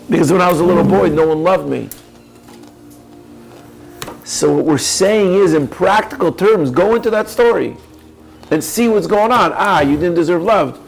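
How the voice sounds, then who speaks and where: A man's voice: fairly high pitch, vocal fry, slight background noise, rough recording. A man giving a reflective coaching talk, earnest and probing, speaking directly to the listener. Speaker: speaker on personal growth.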